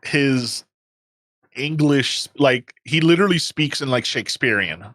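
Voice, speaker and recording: A man's voice: medium pitch, expressive, measured pace, fairly bright tone, dry recording. Man with a dry sound. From Puerto Rico. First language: Spanish. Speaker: guest gamer. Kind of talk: casual chat on a stream.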